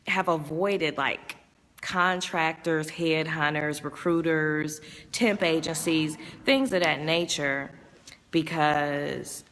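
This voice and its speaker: A woman's voice: lowish voice, gravelly, a bit bright, long reverb tail. A woman chatting on a live vlog, rambling about her work and reading viewer comments, her voice worn from talking all day. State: strained voice from overuse. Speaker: vlogger and music promoter. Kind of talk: casual live-stream chat.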